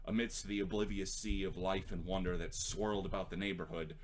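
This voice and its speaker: A man's voice: deep voice, steady tone, brisk, slight background noise, rough recording. A man in his twenties, an author speaking casually and reading his own writing aloud. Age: 20s. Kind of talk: casual rambling talk, then reading own prose aloud.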